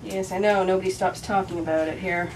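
A woman's voice: on the low side, steady tone, fairly quick, lightly acted. A young woman acting a sarcastic, unimpressed hairdresser with dry delivery in an amateur comedy sketch. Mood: weary sarcasm. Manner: dry, short replies. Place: small indoor room, camera mic. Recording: distant camera mic, music at times.

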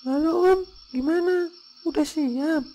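A woman's voice: sits high, takes it slowly, warm tone, slight background noise. A woman voicing a small, cheeky child ghost in a comic cartoon, talking in a childlike, casual, cocky way. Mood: eager, cheeky.

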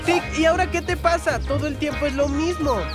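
A woman's voice: high voice, a little fast, lightly acted. A woman voicing a confident, adventurous young male leopard cub in a children's cartoon, bold and a little impatient. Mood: brash, teasing. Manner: bold, encouraging, slightly impatient. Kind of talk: animated children's cartoon dialogue. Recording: loud music behind the voice.

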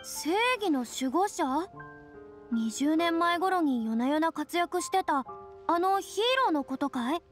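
An actress playing an expressive, proud, boyish young woman in a video game, with slightly grand phrasing. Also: high voice, a little slow, soft background music. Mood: earnest, explaining. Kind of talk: Japanese game voice acting.

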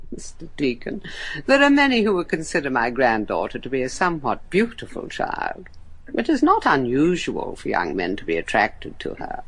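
Swooping, husky old manor lady. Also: a bass voice, huge pitch swings, normal speed, fairly bright tone, heavily acted, low-quality recording. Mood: scornful. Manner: haughty, theatrical delivery. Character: haughty, evasive old grandmother, lady of the manor. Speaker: actor in a radio play. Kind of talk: gothic horror radio drama acting.

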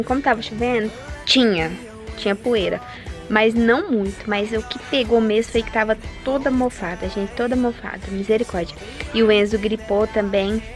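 Chatty young woman vlogging her home. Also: mid-range voice. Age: young adult. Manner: chatty, addresses viewers directly. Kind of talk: casual home tour vlog. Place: house and yard, in and out of doors. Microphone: phone held at arm's length. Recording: music playing underneath.

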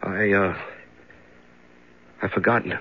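An actor playing a frightened, bewildered male prisoner in a radio drama, halting and stammering as he repeats himself. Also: lowish voice, steady tone, breathy edge. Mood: anxious, halting. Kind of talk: 1970s radio drama acting. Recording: muffled 1970s radio recording, music behind.

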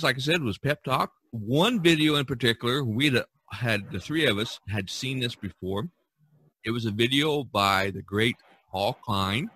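A man's voice: lowish voice, pushed and bright, no echo. An American man hosting a podcast, talking in an upbeat, emphatic and enthusiastic way. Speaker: podcast host.

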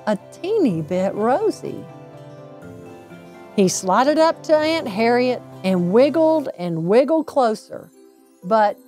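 A middle-aged woman reading a children's bedtime story aloud, with deliberate, drawn-out emphasis on phrases. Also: a little high. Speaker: storybook reader, local insurance agent.

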